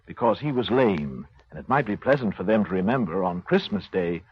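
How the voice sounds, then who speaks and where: An actor playing a gentle, humble father with a husky voice in a 1930s radio drama. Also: low-pitched, animated, fairly quick. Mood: tender, moved. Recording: dull 1930s radio recording.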